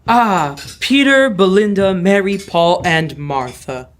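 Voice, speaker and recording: A man's voice: sits high, animated, takes it slowly, a bit bright, full character voice. A young man playing a kindly, hopeful father in an old-time radio drama. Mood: fond, hopeful. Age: teens.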